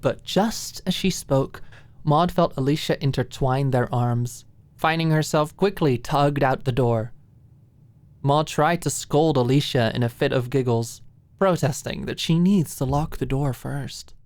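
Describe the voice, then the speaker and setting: A woman's voice: extremely low pitch, a little slow, darkish tone, no room sound. A woman reading scripted story narration for an ASMR-style audiobook.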